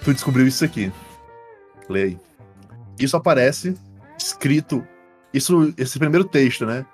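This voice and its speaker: A man's voice: mid-range voice, animated, moderate pace, husky, faint music behind. Brazilian man narrating a tabletop game. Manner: informal, uses slang and swearing. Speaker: game master. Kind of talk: narrating a tabletop roleplaying game, casual and improvised.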